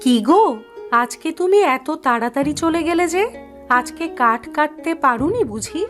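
A woman's voice: high voice, animated, normal speed, a bit bright. A woman voicing a poor, anxious village wife and mother in a cartoon, fretful and plaintive. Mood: concerned. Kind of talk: Bengali cartoon voice acting. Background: music.

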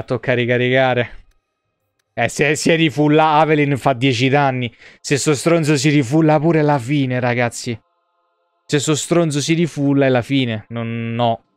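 A man's voice: mid-range voice, a little slow, pauses often, smooth, dry recording. A young man giving casual live game commentary, muttering and swearing in exasperation to his viewers. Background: video game music.

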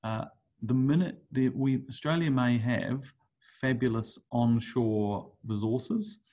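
A man's voice: mid-range voice, slow pace, dark tone. A middle-aged man with a New Zealand accent, giving a conference talk over slides, hesitant with many fillers and restarts. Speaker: conference speaker. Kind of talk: webinar presentation over slides.

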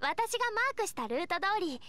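A very high-pitched, cheerful girl knight. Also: fairly quick, music in the background. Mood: encouraging. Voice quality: bright girlish anime voice. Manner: chirpy, earnest, expressive. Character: cheerful, eager young female knight scout. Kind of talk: Japanese anime game voice acting.

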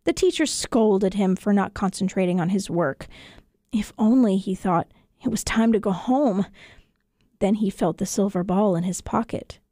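A woman storyteller reading children's tales in an expressive audiobook narration style. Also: mid-range voice, normal speed, no echo, studio quality. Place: radio studio.

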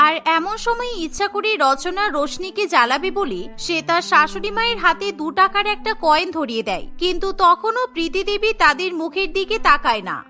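A woman narrating a cartoon family story in a brisk, dramatic scripted style. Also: very high voice, expressive, bright, forceful tone. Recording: music bed under voice.

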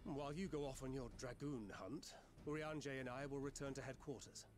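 An actor playing a dry, cautious adventurer in a fantasy game. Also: male voice, mid-range voice, brisk, darkish tone, soft background music, lightly acted. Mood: cool, businesslike. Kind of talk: game cutscene voice acting.

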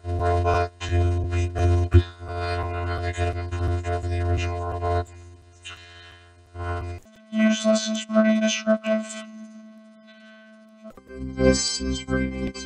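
Flat musical vocoder robot. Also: male voice, high-pitched, echoey room, voice pushed up, low-quality recording. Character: singing electronic robot, monotone vocoder machine. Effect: musical vocoder, smothered speech.

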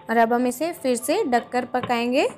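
A woman hosting a home cooking video, patiently explaining each step in a calm instructional voice-over. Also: a little high, measured pace, slight background noise. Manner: patient, explains each step, often says "dekhiye, lijiye". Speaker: cooking channel host.

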